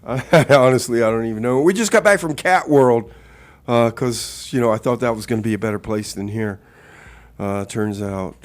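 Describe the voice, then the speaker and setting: A man hosting a radio comedy and music show, chatting to listeners in a folksy, exclamatory, exasperated way. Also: medium pitch. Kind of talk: live comedy radio banter and music announcing.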